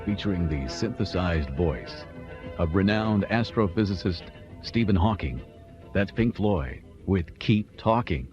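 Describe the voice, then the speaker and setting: A man presenting a radio program in a polished, scripted announcer manner. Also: lowish voice. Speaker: radio host. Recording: dull lo-fi radio with faint music.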